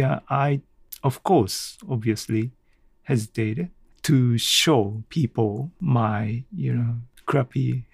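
Slow, halting man. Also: medium pitch, vocal fry, a little mellow, no echo. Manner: hesitant, halting, self-correcting. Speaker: podcast host. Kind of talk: casual solo podcast talk.

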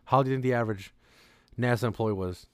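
A man's voice: medium pitch, quick pace, smooth, no echo, clean studio sound. A man in his late twenties co-hosting a podcast, talking in a blunt, emphatic way and swearing casually. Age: late 20s. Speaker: podcast co-host. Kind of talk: casual chat.

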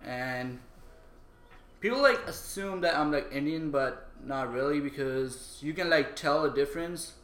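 A man's voice: on the high side, measured pace, fairly bright tone. A young man from Pakistan in a casual podcast chat, talking frankly and swearing freely. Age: young adult. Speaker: podcast co-host. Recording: echoey room with faint ambience.

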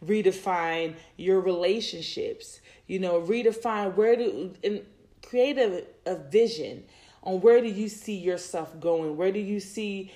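A woman's voice: medium pitch, unhurried, warm tone. A woman in her thirties talking to listeners about faith and relationships, rambling and repeating herself with many fillers. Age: 30s. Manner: rambling, repeats words, many "you know"s. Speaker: podcast host. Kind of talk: faith-based personal talk.

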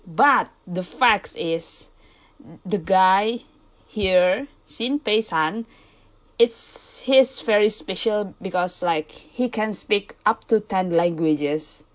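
A woman with an Indonesian accent hosting a podcast interview, friendly and quick to laugh. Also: mid-range voice, muffled recording. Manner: friendly, laughs often, short back-channel replies. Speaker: podcast host. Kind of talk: casual interview chat.

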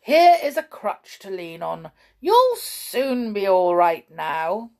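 A kindly old gnome doctor from a children's storybook, acted out by a woman. He is fussy and soothing, bustling through his words and repeating himself. His pitch lifts and swings with every phrase. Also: sing-song, a little mellow. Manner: soothing, repetitive, brisk.

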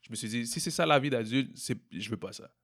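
A young man from Montreal with a Québécois French accent, answering interview questions in a relaxed way, often repeating and restarting words. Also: mid-range voice, fast. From Montreal, Quebec. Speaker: entrepreneur guest. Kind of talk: casual interview conversation.

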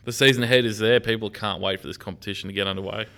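A man co-hosting a local football podcast, upbeat and agreeable, with a naturally loud voice. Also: lowish voice, steady tone, racing, gravelly. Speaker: podcast co-host, journalist. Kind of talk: casual sports podcast chat.

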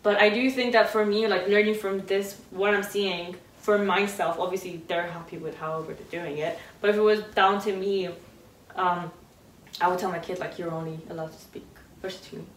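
A woman's voice: medium pitch, steady tone, fairly quick, reverberant, distant mic, poor audio. A woman in her twenties from Iran, co-hosting a casual late-night chat, talking loosely with many fillers and frequent laughs. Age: 20s. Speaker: podcast co-host.

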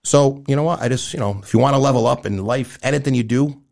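A man's voice: mid-range voice, fast, creaky, a little mellow. A man in his fifties talking candidly and earnestly as a guest in a casual conversational interview. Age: 50s. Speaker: guest, event promoter.

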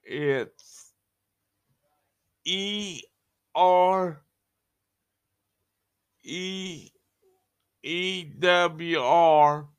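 A man introduces the broadcast, speaking very slowly and haltingly. His voice is smooth and clear, a little higher than most. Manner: slow, halting, spelling out names. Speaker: show presenter.

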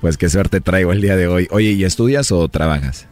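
A man with a Mexican accent, smooth-talking and flirtatious, making a prank call for a radio show. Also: low-pitched, brisk, a little mellow, faint music behind. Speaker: radio prank caller posing as a suitor. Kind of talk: flirtatious prank phone chat.

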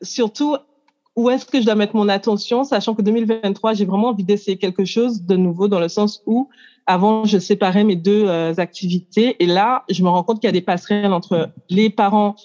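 A woman in her thirties, a coaching client, explaining her situation in a casual, hesitant way with many pauses and restarts. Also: medium pitch, steady tone, warm tone, muffled recording. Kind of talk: casual chat. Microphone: handheld mic.

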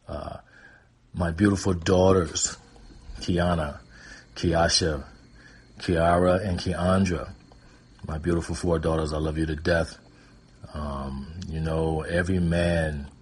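A man in his fifties from Michigan with an American accent, telling personal stories in an informal, rambling, hesitant way with a husky voice. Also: a bass voice. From Pontiac, Michigan, United States. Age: 50s. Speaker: guest storyteller. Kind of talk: casual personal storytelling.

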